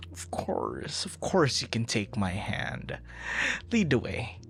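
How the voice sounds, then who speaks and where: A man playing a flustered, smitten young suitor in an intimate ASMR boyfriend roleplay, speaking in halting, tender murmurs. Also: medium pitch, wildly swooping pitch, gravelly, fairly bright tone, faint music behind, full character voice. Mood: playful, affectionate. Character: smitten, flustered young male stranger turned romantic suitor.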